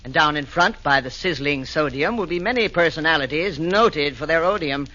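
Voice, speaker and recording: An actor playing a deferential servant sent to summon souls, in a 1940s radio drama. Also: male voice, fairly high pitch, lively intonation, normal speed, pushed and bright. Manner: deferential, courteous. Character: polite male servant messenger of hell. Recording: dull old radio broadcast.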